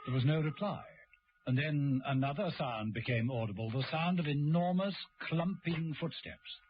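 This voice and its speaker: A man's voice: fairly high pitch, moderate pace, slight background noise. A man narrating a radio serial in a dramatic, suspenseful and precise scripted style. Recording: dull lo-fi old radio recording. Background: dramatic music.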